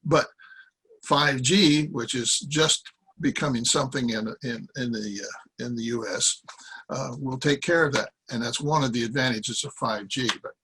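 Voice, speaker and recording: An American man in his seventies giving an informal talk from notes, rambling and halting as he corrects himself. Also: medium pitch, sharp tone, slight background noise, poor audio. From Iowa, United States. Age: 70s. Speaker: guest speaker, former journalist. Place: small cluttered room with boxes, indoors. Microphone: computer microphone on a video call.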